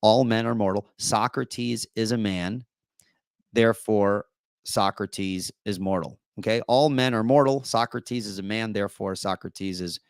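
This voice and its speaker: Male host, low voice still rough from recent voice loss, chatty with fillers, dry close mic. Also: measured pace, dry recording. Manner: chatty, with many fillers and restarts. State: voice still deep after losing it. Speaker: apologetics host and teacher. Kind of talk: casual live-stream talk.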